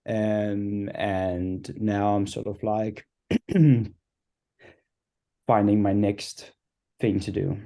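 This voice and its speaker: A man from Denmark speaks slowly in a mellow, low-ish voice, introducing himself on a video call. He halts often with fillers. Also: level tone, creaky. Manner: halting, many fillers. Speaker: software engineer introducing himself.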